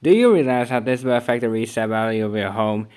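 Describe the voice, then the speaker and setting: Man as a wisecracking cartoon boy, medium pitch. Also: fast talker, a little mellow, clear, resonant tone, lightly acted. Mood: brash, sarcastic. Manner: dry, sarcastic. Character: wisecracking, sarcastic cartoon boy, quick-witted hero. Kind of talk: cartoon game fan dub acting.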